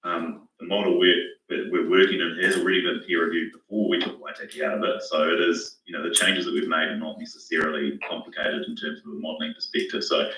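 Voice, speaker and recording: A man recovering from a cold, his voice hoarse, reporting cautiously to a council meeting with many restarts. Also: deep voice, pushed and bright. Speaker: financial modelling consultant. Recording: roomy, echoey, distant from the mic.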